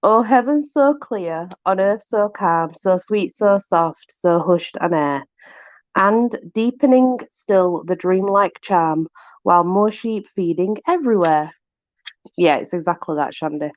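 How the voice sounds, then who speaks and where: A woman's voice: on the low side, expressive, a little slow, highs cut off. Yorkshire woman chatting and reading out bits of a book, takes her time, roomy sound. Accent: Yorkshire English. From Yorkshire, England. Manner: informal, exclamatory asides to a companion. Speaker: host reading aloud from a book. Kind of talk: casual chatty reading of sayings and verse.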